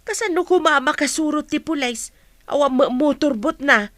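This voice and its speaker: A woman's voice: sits high, normal speed, a bit bright, no room sound. A woman acting an ailing, anxious lady boss in a Philippine radio drama. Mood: worried.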